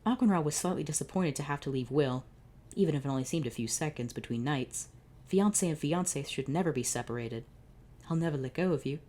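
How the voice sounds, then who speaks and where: Low mellow woman reading fanfic out loud, deadpan n kinda exasperated, swears in between. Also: brisk. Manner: exasperated, sardonic, swearing asides. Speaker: channel host reading fan fiction. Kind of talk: comedic dramatic reading with asides.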